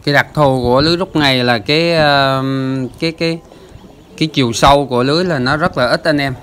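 A man's voice: mid-range voice, a bit bright. A man hosting a fishing channel, explaining the footage in a chatty voice-over with lots of filler sounds. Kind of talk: casual voice-over commentary.

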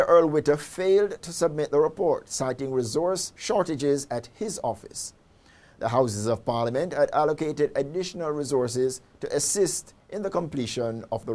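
A man in his forties with a Jamaican accent, presenting a scripted news report in a formal, measured newsreader delivery on a lapel mic in a studio. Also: a little high, darkish tone. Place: indoor studio.

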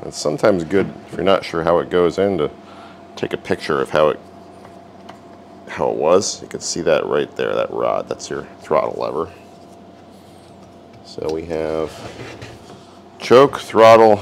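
A man's voice: rumbling low, vocal fry, a little hiss or hum. A man hosting a repair video, narrating casually and wryly as he works at a garage bench. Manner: relaxed, wry, talks while working.

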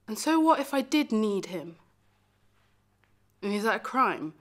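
A woman performing a dramatic monologue as a lonely, heartbroken young lover, confessional and emphatic, moving between hushed and forceful delivery. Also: lowish voice, a little slow. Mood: indignant, pleading. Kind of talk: dramatic stage monologue, self-taped acting showreel.

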